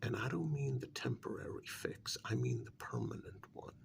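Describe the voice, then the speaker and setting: A man speaking softly in an ASMR role-play, half whispering, with a breathy, creaky voice and a deliberate, halting delivery in short phrases. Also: on the low side, wildly swooping pitch.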